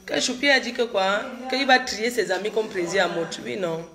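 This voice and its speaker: A woman's voice: medium pitch, normal speed, a crowd in the background. A middle-aged woman from Cameroon, chatting casually on a live stream. She has a cold and talks proudly, answering viewer comments directly. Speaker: social media personality answering viewers.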